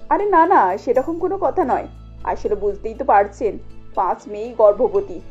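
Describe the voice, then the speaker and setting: A woman's voice: on the high side, expressive, moderate pace, darkish tone, breathy edge, dull audio, soft background music, voice pushed down. A woman voicing a worried, self-sacrificing middle-aged mother in a cartoon story, meek and placating.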